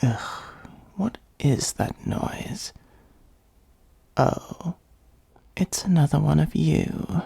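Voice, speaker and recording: A performer of unclear sex voicing an ancient, haughty dragon in a comedic fantasy ASMR roleplay, with a breathy, husky, gravelly voice and a sardonic, condescending, musing manner. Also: medium pitch, animated, extremely slow, long pauses, warm tone, full character voice. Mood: weary, condescending. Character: ancient gold-hoarding dragon, haughty, dryly exasperated.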